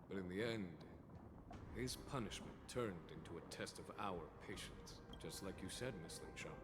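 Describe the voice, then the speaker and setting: An actor playing a stern, composed commander in a video game, speaking in a grave, formal and deliberate manner. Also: male voice, low-pitched, long reverb tail. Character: stern, composed male commander, courteous but unyielding. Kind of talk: video game character dialogue.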